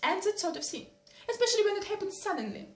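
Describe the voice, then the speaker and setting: A woman reading as a calm, inquisitive young noblewoman. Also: very high voice, fast, far from the mic, pitched above the performer's own voice. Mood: curious. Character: sensible eldest young noblewoman. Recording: roomy echo.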